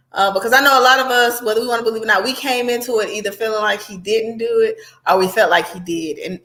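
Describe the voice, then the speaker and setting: A woman's voice: a little high, fairly bright tone. A woman hosting casual reaction commentary, talking straight to her viewers in a conversational, sardonic way. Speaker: commentary host.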